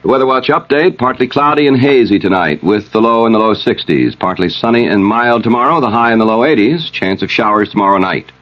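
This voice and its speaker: Male radio newsreader with a lowish voice, scripted bulletin delivery, slightly dulled vintage broadcast sound. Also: animated, brisk, few pauses. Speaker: radio news and weather announcer. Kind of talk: scripted news reading. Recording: slightly dull old radio recording.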